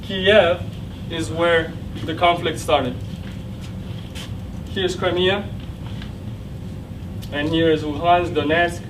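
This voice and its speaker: A man's voice: fairly high pitch, steady tone, takes it slowly, long pauses. Ukrainian-accented young man presenting at a distance. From central Ukraine. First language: Russian. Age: young adult. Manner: explanatory, with frequent fillers and restarts. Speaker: student presenter. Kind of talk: classroom presentation with slides. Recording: distant mic with clear background noise.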